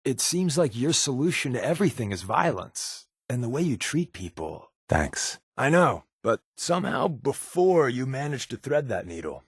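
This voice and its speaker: An actor playing a wry, secretive spy and loyal wisecracking companion in a video game, talking in casual, conversational asides. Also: male voice, medium pitch, very animated, normal speed, hardly any pauses, breathy edge, dry recording, clean studio sound. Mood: serious, reproachful.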